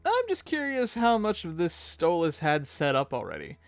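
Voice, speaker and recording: Animated high-pitched man commentating. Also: normal speed, no room sound, faint music behind. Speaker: co-host of a fan commentary show. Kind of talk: scripted back-and-forth commentary.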